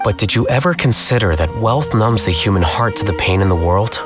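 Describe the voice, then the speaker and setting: An actor playing a cool, analytical young scholar in a game, speaking with composed, probing articulation. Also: male voice, lowish voice, lively intonation, fairly quick, natural voice. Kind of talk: dramatic game voice acting. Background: background music.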